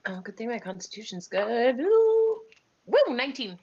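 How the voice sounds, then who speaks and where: A woman chatting casually and briefly as a player in an online tabletop game, with a husky voice. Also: a little high, big pitch swings, moderate pace, dark tone. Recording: dull, muffled online call audio.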